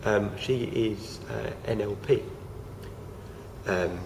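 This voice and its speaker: A young man talks haltingly, full of fillers and false starts. His low voice is gravelly and croaky from feeling unwell, and a steady hiss sits under the home recording. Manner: hesitant, many fillers and restarts. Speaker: vlogger. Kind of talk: casual talk to camera.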